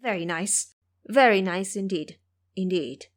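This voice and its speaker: A woman voicing a courteous male Buddhist monk, formal, humble and measured, in an audio drama. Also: mid-range voice, natural voice. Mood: approving.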